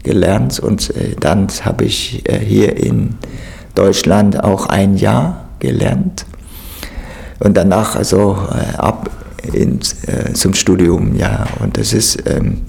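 A man's voice: fairly low pitch, slow pace, gravelly, darkish tone. A man from Vietnam, a native Vietnamese speaker, answering interview questions hesitantly with many fillers.

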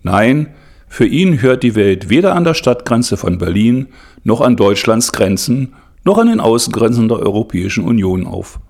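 A man narrating a documentary report in a measured, formal way. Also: mid-range voice, sing-song, vocal fry, darkish tone. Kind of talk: scripted narration.

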